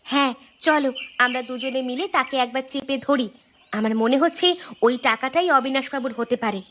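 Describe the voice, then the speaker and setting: A woman's voice: high-pitched, brisk, fairly bright tone, a little hiss or hum. A woman voicing a suspicious, nagging village wife in a cartoon, questioning pointedly and scolding. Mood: concerned, insistent. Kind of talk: cartoon dialogue acting.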